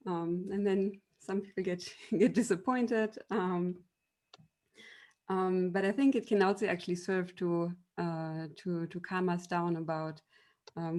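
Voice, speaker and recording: A woman's voice: on the low side, darkish tone. A woman from Germany with a German accent, a university machine learning lecturer giving debate panel remarks in an explanatory, storytelling way, with many ums and restarts.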